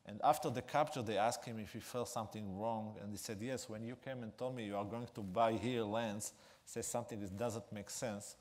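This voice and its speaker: Israeli guy, middle-aged, lowish voice, stumbles thru stories on a stage. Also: steady tone. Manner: halting, frequent fillers, non-native grammar. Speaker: guest speaker. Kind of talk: storytelling onstage interview. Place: theater stage with live audience.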